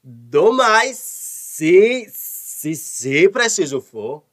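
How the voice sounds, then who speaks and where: A very slow, badly stuttering son in a radio farce. He blusters and threatens, tripping over syllables again and again. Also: high voice, big pitch swings, exaggerated voice. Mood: hesitant, boastful. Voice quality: heavy stutter. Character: stuttering, indignant henpecked son, a blustering brother-in-law. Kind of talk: radio soap opera comedy acting.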